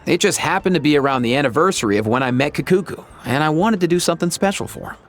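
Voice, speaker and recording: A man's voice: a little high, expressive, quick pace. An actor playing a casual, brotherly young man in a video game, slangy and a little bashful. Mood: explaining.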